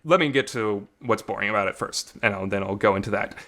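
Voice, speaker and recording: A man's voice: lowish voice, fast, sharp tone. A man giving casual, opinionated commentary in a rambling, emphatic way, repeating words for stress, with some reverb on the recording. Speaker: visual novel reviewer. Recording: reverberant room sound.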